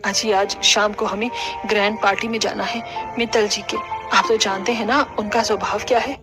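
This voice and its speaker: A woman's voice: medium pitch, fairly even intonation, moderate pace, over music, natural voice. A woman playing a stern middle-aged mother-in-law in a family cartoon, speaking bluntly. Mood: matter-of-fact. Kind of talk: Hindi cartoon family drama dialogue.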